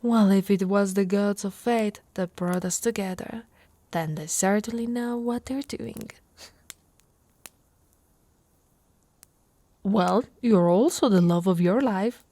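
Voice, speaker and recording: A woman's voice: medium pitch, a little slow, dark tone, no room sound, natural voice. A woman from Italy voicing an affectionate, playfully boastful orc warrior wife in an intimate, teasing ASMR roleplay, often dropping to a whisper. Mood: flirty.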